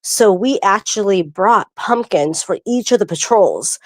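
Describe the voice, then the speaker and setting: A woman's voice: medium pitch, animated, unhurried, no room sound. A woman guest telling a story in a casual live chat, chatty and full of restarts.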